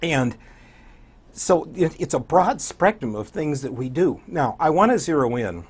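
An older American man with a husky voice, speaking as a radio DJ guest in a conversational studio interview. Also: on the high side, very animated, a little slow. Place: small indoor TV studio.

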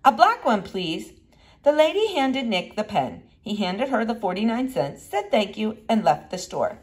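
Female reader for children's fiction: expressive, lively intonation, clear narrative emphasis. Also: mid-range voice, normal speed. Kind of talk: read-aloud children's storybook narration.

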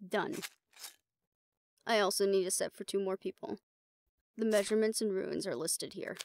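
Woman acting as confident female monster hunter, mid pitch, gravelly fry, wry and teasing, audio roleplay. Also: normal speed, darkish tone, dry recording, natural voice. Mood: businesslike, discreet. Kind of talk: intimate scripted audio drama acting.

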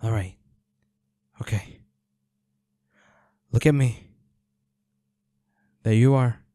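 A man's voice: medium pitch, extremely slow, warm tone, no echo, studio quality. A man playing a protective, worried boyfriend in an intimate audio roleplay, speaking in a pleading, soothing, urgent way. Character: protective, worried boyfriend tending his injured werewolf partner.

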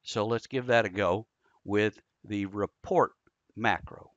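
A man's voice: on the low side, slow, a bit bright, dry recording, dull audio. An American man giving a casual screen-recorded tutorial, explaining informally step by step. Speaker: amateur radio instructor.

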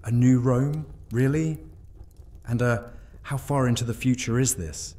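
A man playing a suave, commanding vampire Roman soldier in a fantasy audio drama, smooth and deliberate. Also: mid-range voice, mellow, faint music behind, natural voice. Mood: intrigued.

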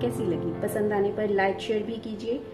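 Female cooking host, mid-pitched, methodical recipe voice-over in a roomy room with clear music behind. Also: normal speed. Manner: steady step-by-step instructions, addresses viewers as friends. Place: home kitchen. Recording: roomy kitchen sound with music.

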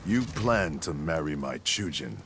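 An actor playing a stern, proud ninja clan grandmaster in a video game, speaking in a measured, formal, commanding way. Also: male voice, very deep voice, animated, noisy background, heavily acted. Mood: solemn, commanding. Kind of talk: video game character dialogue.

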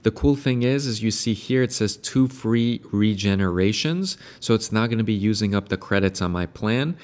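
A man in his thirties hosting a software tutorial, upbeat and eager as he explains each step. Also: on the low side, moderate pace. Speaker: tutorial host and AI consultant. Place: indoor room in front of a painted brick wall.

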